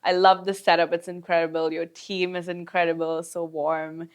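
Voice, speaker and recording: A woman's voice: lowish voice, flat delivery, normal speed, clean tone. Giggly woman in her twenties. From India. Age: 20s. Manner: chatty and giggly, many fillers. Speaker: guest singer. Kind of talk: casual interview chat.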